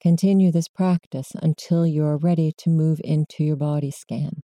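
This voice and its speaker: A woman guides a meditation in a low, very mellow and soft-edged voice. She gives gentle, measured instructions in the manner of a mindfulness teacher. The sound is close and dry, with no room around it. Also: fairly even intonation, no echo.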